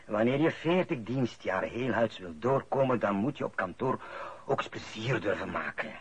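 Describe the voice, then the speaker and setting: A man's voice: on the low side, lively intonation, normal speed, dull audio, slight background noise, natural voice. An actor playing an easygoing older father and veteran clerk in a radio play, folksy and coaxing. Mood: reasoning.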